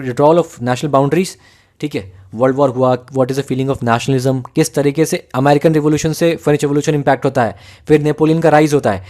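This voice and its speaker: A man with an Indian accent giving a fast, conversational exam-prep lecture, often checking that students follow. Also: a little high, darkish tone. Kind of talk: lecture mixing Hindi and English.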